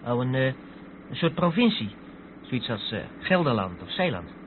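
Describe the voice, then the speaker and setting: A man's voice: medium pitch, very animated, moderate pace, natural voice. An actor playing a curious, rather naive co-driver who questions his companion in a radio drama. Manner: inquisitive. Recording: tinny narrow-band radio recording with vehicle noise.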